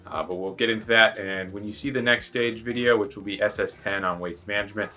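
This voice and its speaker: A man's voice: fairly low pitch, fairly even intonation, brisk, pushed and bright. A man giving a casual, chatty, rambling explanation as a rally driver introducing his video.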